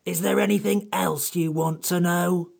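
A man voicing a haughty, complaining furry magical creature in a creaky, husky storybook character voice. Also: on the high side, expressive, slow, voice pushed up, exaggerated voice. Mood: crabby. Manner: crabby, pompous, disdainful.

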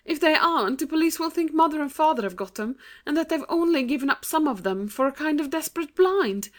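A woman's voice: high-pitched, expressive, brisk, voice pushed up. A woman voicing an earnest, dramatic, kindly young British girl in a storybook reading, well-spoken and sincere. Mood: dramatic, gloomy.

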